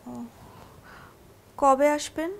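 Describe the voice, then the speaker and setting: An actress playing a timid, dutiful young wife in a TV drama, speaking in a hesitant, formally polite way. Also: female voice, extremely slow, slight background noise. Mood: timid concern. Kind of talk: naturalistic TV drama acting.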